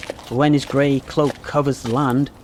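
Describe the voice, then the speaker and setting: An actor playing a dutiful, mild, put-upon young knight in a comic radio play, polite and earnest. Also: male voice, mid-range voice, level tone, measured pace, a little hiss or hum, natural voice. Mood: reflective. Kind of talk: radio play comedy acting.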